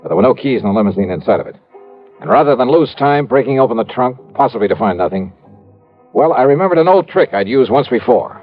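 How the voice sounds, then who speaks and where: A man playing a quick-witted, cool-headed insurance investigator, narrating and acting in 1950s radio crime style. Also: mid-range voice, expressive, natural voice. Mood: tense, wry narration. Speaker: lead actor and narrator of a radio detective series. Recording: muffled vintage radio recording with music.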